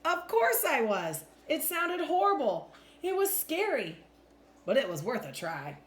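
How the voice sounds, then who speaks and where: A woman reading a children's storybook aloud in an expressive, deliberate storytelling manner. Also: high voice. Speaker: teacher reading a picture book aloud. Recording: roomy echo with faint background noise.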